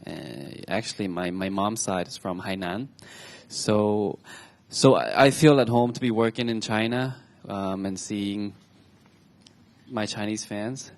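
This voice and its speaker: A man in his twenties from Thailand, telling personal stories to an audience on a stage talk show in a chatty, halting way with frequent restarts. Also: fairly low pitch. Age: 20s.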